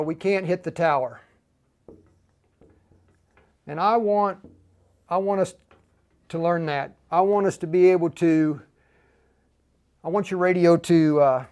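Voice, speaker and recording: Male tutorial host, higher-pitched, casual and halting, talks straight to the audience. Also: a little mellow, smooth. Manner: halting, repeats and restarts phrases; informal, addresses viewers directly as "you guys". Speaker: livestream host and instructor. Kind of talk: live tutorial, casual talk to viewers.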